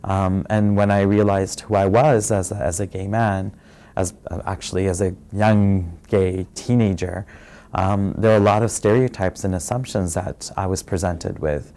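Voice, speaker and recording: A man from Fiji telling his own story in a soft, reflective way, pausing often with fillers. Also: low-pitched, fairly even intonation. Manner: soft-spoken and reflective, many pauses and fillers. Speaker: interviewee sharing his story. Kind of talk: personal testimony.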